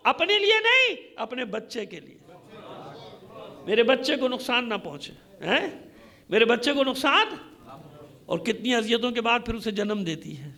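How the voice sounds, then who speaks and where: A man's voice: extremely high pitch, bright, forceful tone. A man delivering an impassioned religious sermon as a Shia preacher, emphatic and repetitive, with rhetorical questions. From Pakistan. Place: congregation hall, speaking to a gathering.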